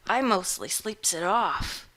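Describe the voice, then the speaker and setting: A grizzled old farmer man, put on by a woman reading a storybook, speaking louder than the rest of the reading. He drawls slowly and mournfully in plain country grammar, placid and unhurried, like a gaunt man who has just woken up. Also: mid-range voice, animated. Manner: slow rustic drawl, ungrammatical country speech.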